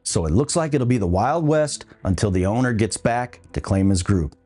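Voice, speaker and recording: A man's voice: fairly low pitch, animated, moderate pace, dark tone, breathy edge, faint music behind. A man hosting a podcast, delivering scripted opinion commentary with a wry, dry humour. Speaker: podcast host.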